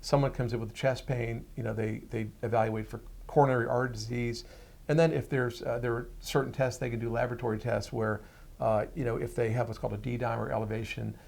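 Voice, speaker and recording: A middle-aged or older man speaking as a physician guest in an interview, explaining things in a measured, plain-spoken way. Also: medium pitch, flat delivery, creaky, darkish tone.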